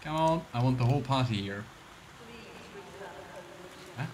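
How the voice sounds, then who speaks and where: A man's voice: medium pitch, expressive, measured pace, noisy background. A man giving casual, musing let's play commentary on a role-playing game, with a wry, sardonic manner. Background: game music.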